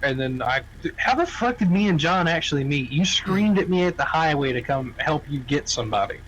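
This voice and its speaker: A man with a notably high voice speaks in casual roleplay voice chat. His talk is blunt and full of swearing. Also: lively intonation, fairly quick, a bit bright, dull audio, a little hiss or hum. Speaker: roleplay gamer.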